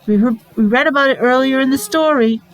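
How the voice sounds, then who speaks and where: A woman reading a picture book aloud to young children, expressive, adding explanations and questions along the way. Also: high-pitched, fast talker, low-quality recording. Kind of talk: animated read-aloud storytelling with asides to young children.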